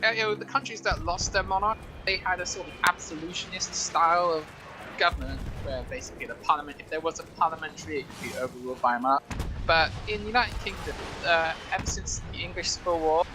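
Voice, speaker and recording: A man's voice: a little high, fast, noisy background. A man talking casually and explaining things as a guest commentator over gameplay.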